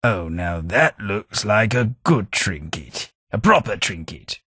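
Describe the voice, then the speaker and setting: An English actor voicing a posh, sardonic ghoul gentleman companion in a video game, with a plummy, dry delivery. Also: lowish voice, big pitch swings, takes it slowly, husky, dry recording, full character voice. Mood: wry. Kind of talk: game voice lines.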